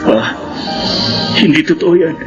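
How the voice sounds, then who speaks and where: An actor playing a dutiful, contrite young adult son in a radio drama, earnest, with a creaky voice. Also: male voice, fairly high pitch, animated, a little slow. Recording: dull sound, loud music underneath.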